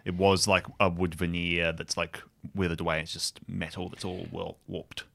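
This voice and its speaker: A man with a deep voice takes part in a tabletop roleplay. He describes his character's actions casually and a little haltingly, making it up as he goes. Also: flat delivery, gravelly, a bit bright. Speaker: player in an improvised tabletop audio drama.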